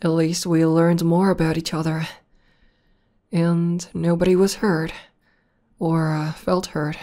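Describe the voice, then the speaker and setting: A bashful tomboy demoness warrior, played by a woman, speaks to her partner in an intimate ASMR roleplay. Her voice is notably low and her delivery slow. She sounds soft, hesitant and deeply affectionate. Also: little pitch movement. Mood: tender, bashful. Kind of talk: ASMR roleplay, intimate one-sided conversation.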